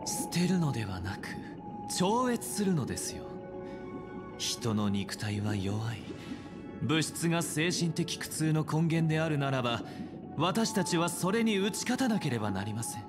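An actor playing a calm, idealistic male leader in a video game, speaking in polite, measured, solemn persuasion. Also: on the high side. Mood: persuasive, earnest. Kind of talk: Japanese video game voice acting. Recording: music under the voice.

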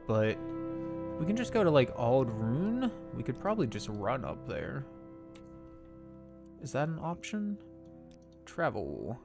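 A man's voice: mid-range voice, vocal fry. A man streaming a game, chatting casually with viewers and laughing often, his nose a little stuffy. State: slightly congested, stuffy nose. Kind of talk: casual gameplay commentary and chat.

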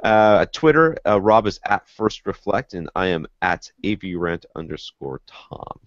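Podcast host, middle-aged man, very low voice, worn out and going hoarse, dry slightly muffled call audio. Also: lively intonation, unhurried, no room sound. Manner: tired, wrapping up. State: losing his voice, feeling sick. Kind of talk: casual podcast chat. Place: home room, in armchair.

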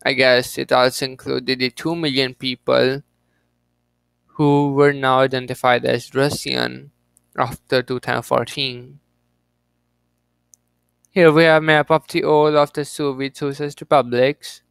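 A young man hosting a geography channel, reading an encyclopedia page aloud with halting restarts and offhand jokes. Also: mid-range voice, flat delivery, creaky, a bit bright, clear, resonant tone, no room sound, low-quality recording.

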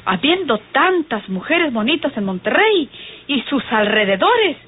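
Radio serial: sardonic Mexican street woman, unhurried and very expressive, old recording. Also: sits high, phone-quality sound. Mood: amused, familiar. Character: brash, bitter woman of the night streets.

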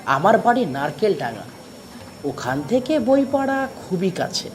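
Animated high-voiced manager friend, acted by a man. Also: a little slow, a little hiss or hum. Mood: chatty, eager. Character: male factory manager, brooding, bookish, chummy friend. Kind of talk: audio story character acting.

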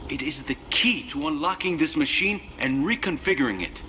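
A grave sci-fi game researcher leaving a log. He speaks in a measured, solemn way with a forceful, bright edge, over clear background noise. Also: a little high, lively intonation. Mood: urgent, hopeful. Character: grave male researcher leaving a recorded message. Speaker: video game voice actor. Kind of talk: dramatic sci-fi game monologue.

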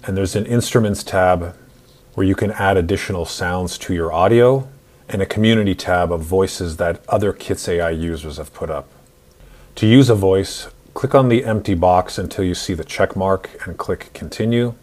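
A man in his forties narrating a screen-recorded software tutorial in an instructional, step-by-step way. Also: sits low, normal speed. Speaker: tutorial host.

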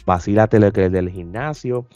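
Guy on a reaction podcast, soft mellow tone, dry close mic. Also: lowish voice, moderate pace, no echo, dull audio, soft background music. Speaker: podcast host. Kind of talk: casual reaction podcast chat. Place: indoor room, remote video call. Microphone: close desk podcast microphone with headphones.

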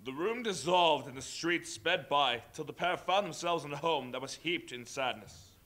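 A man narrating a stage play, steady and storytelling. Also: a little high, normal speed.

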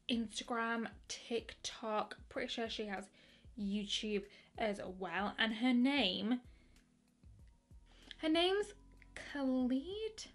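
A young woman in her twenties chatting excitedly through a makeup review, with lots of fillers and restarts. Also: on the high side. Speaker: beauty vlogger. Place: bedroom with colourful lighting.

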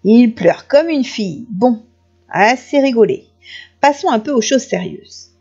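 A bratty little girl from a storybook, played by a woman, scornful and insolent. Her voice is high and she grumbles with mean relish, on a slightly muffled recording. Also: expressive, measured pace, darkish tone, breathy edge, full character voice. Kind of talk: children's storybook character voice.

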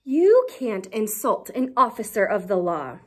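A woman's voice: mid-range voice, sing-song, measured pace, breathy edge, voice pushed down. A woman voicing a stern male police officer in a storybook, scolding the runaway.

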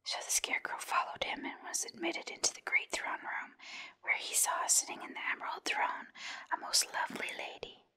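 A woman narrating a storybook in a breathy, unvoiced whisper, like ASMR. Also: normal speed, sharp tone. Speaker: audiobook reader.

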